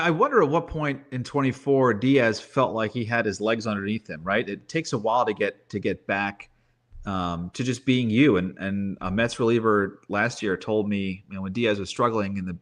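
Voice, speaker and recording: A man's voice: fairly low pitch, moderate pace, a bit bright. A man giving casual sports analysis as a guest, thinking aloud and tossing in rhetorical questions.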